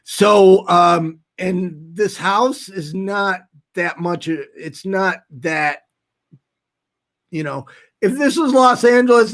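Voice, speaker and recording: Male, late 50s, high-pitched voice. Earnest, emphatic livestream host, speaking candidly and off the cuff. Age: late 50s, nearly 60. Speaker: nonprofit founder hosting a livestream. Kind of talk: casual livestream talk to viewers.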